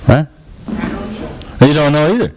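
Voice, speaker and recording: A man's voice: a little high, animated, unhurried, crowd noise. A man introducing visiting guests at a church meeting in a friendly, joking manner. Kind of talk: casual introductions.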